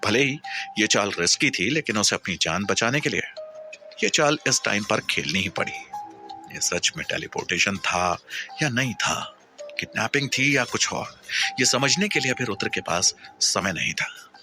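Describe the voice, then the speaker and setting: A man's voice: lowish voice, animated, normal speed, music in the background. A man narrating a scripted audio story in a dramatic, suspenseful, emphatic way.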